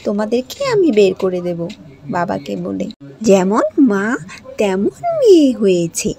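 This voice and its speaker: A woman's voice: mid-range voice, sing-song, a little slow, creaky, voice pushed down. A woman voicing a blunt, fiery village girl. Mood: defiant. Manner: blunt, defiant, sharp-tongued. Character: hot-tempered brave village girl. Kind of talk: cartoon voice acting.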